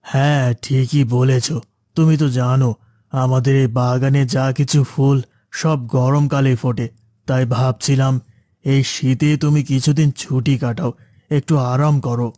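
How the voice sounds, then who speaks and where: A man voicing a considerate, mild-mannered employer in an animated moral story. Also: mid-range voice, unhurried, no room sound, clean studio sound, close to an everyday voice. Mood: kindly. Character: kindly, considerate male garden owner and employer.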